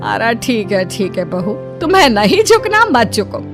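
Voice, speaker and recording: A woman playing a middle-aged, self-pitying, sarcastic mother-in-law in an animated story, scolding and complaining dramatically. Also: medium pitch, moderate pace, music in the background. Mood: resigned. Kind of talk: animated story character dialogue.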